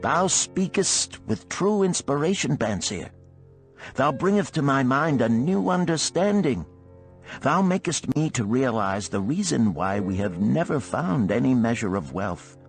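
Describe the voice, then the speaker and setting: A man voicing an earnest, animated musician of ancient Babylon in a storybook parable, with archaic, oratorical phrasing. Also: mid-range voice, voice pushed up.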